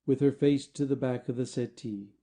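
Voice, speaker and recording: A man's voice: medium pitch, fairly even intonation, normal speed, dark tone. A man reading stage directions as the narrator of a scripted play.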